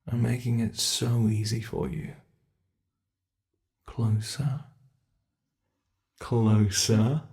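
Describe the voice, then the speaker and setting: A man's voice: fairly low pitch, vocal fry, warm tone. A man voicing an ancient, playful monster under the bed, with a British accent, teasing and menacing in a whispery ASMR roleplay.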